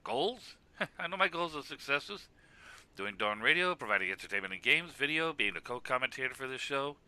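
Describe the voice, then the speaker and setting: A man's voice: medium pitch, lively intonation, brisk, bright, forceful tone, smooth, no room sound. A man acting a heartfelt radio co-commentator in a web cartoon, speaking in an earnest, emphatic, speechifying way. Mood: proud. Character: loud heartfelt radio co-commentator consoling his rival. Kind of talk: animated web cartoon dialogue.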